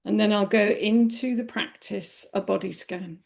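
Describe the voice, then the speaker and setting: A woman leading a guided body scan meditation in a gentle, unhurried manner with long pauses. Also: medium pitch, creaky. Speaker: meditation teacher.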